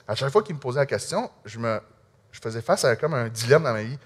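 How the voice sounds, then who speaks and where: Québécois guy giving his testimony, earnest, kinda halting, lots of restarts. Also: mid-range voice, animated, husky. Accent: Québécois French. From Quebec. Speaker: speaker sharing a personal testimony. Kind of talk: personal testimony to an audience.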